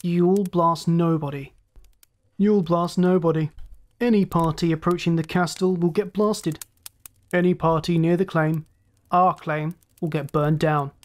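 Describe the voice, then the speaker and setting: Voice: mid-range voice, fairly even intonation, unhurried, close to an everyday voice. Narrator doing a big smug pirate captain, mocking and coolly threatening, sci-fi storybook villain. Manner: mocking, smug, menacing courtesy. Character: cynical swarthy giant pirate ship master, gloating. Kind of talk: science fiction storybook villain dialogue.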